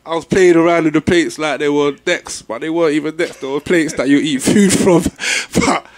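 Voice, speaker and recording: Young London man, relaxed MC guest telling stories. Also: on the high side, normal speed. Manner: laid-back, peppered with "you know what I'm saying". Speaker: MC interviewee. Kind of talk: casual interview chat.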